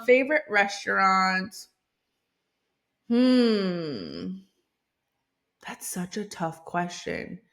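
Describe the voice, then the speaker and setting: A woman's voice: fairly low pitch, a bit bright. A woman who grew up in Hawaii, a podcast guest talking in an upbeat, casual way about her coaching work. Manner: upbeat, fast-talking with fillers. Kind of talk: casual interview chat.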